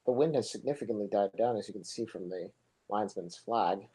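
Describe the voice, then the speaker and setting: A young man giving relaxed, rambling live play-by-play for a soccer match, his voice low and monotone, with chatty asides between plays. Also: a little fast, breathy edge. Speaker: soccer commentator. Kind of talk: casual live play-by-play commentary.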